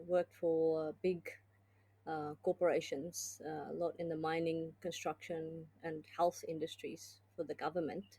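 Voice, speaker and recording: Middle-aged south african woman w/ a bit of aussie twang, low mellow voice, chatty and reflective. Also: slightly rough. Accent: South African with Australian twang. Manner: thoughtful, conversational storytelling. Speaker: guest. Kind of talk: casual interview talk.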